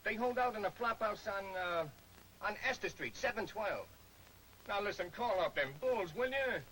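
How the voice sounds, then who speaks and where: A man's voice: high-pitched, expressive, moderate pace, sharp tone, rough recording. A man playing a nervous, slangy small-time crook pleading his innocence in a 1940s movie serial. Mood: anxious, pleading. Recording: old film soundtrack with faint hiss.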